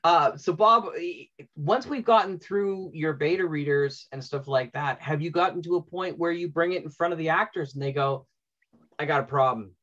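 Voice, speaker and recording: A man's voice: fairly high pitch, normal speed, few pauses, fairly bright tone. A middle-aged man moderating a casual panel discussion over a lo-fi video call. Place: indoor room at home. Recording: dull lo-fi video call audio.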